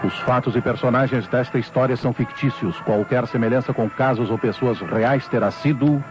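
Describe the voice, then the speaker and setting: A man with a Brazilian accent delivers formal scripted announcements for a radio drama. His mid-pitched voice comes through a dull old recording over loud music. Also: moderate pace.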